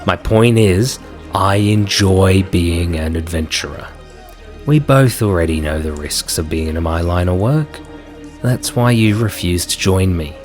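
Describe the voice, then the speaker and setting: A man's voice: sits low, a little slow, a little mellow. A man playing an easygoing, protective adventurer in a fantasy audio drama, colloquial, teasing and reassuring. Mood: firm, teasing. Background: music.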